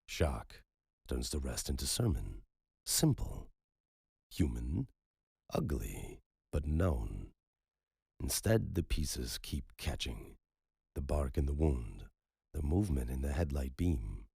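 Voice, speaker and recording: A man narrating a dark story in a slow, brooding, measured way. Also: a bass voice, vocal fry, slightly rough, no echo, studio quality.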